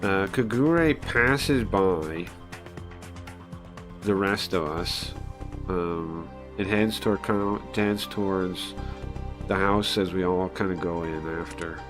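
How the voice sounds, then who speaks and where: A man reading and translating a visual novel aloud on a stream in a halting, informal way, often searching for words and chatting along. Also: over music. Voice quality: low-pitched voice. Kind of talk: casual live read-through, translating and chatting.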